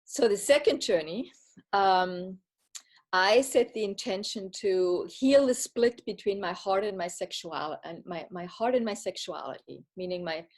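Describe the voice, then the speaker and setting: A woman's voice: medium pitch, measured pace, a little mellow. Woman telling her story in English. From Bavaria, Germany. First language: Bavarian German. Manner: earnest, reflective, with frequent restarts and giggles. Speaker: retreat participant giving a testimonial. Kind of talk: casual personal storytelling.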